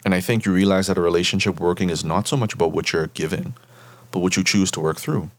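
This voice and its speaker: A man from the Bahamas, a therapist guest, explaining at length in a measured way and often checking in with "right?". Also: low-pitched, no room sound. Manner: measured, tags points with "right?".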